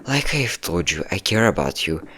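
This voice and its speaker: A man's voice: low-pitched, sing-song, moderate pace, sharp tone, slightly rough, exaggerated voice, rough recording. A man playing a possessive, manipulative yandere ex-boyfriend in a scripted audio roleplay, speaking in a coaxing, menacing way. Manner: coaxing, menacing, calling listener pet names, nervous chuckles. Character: possessive, manipulative yandere ex-boyfriend hunting his hiding lover.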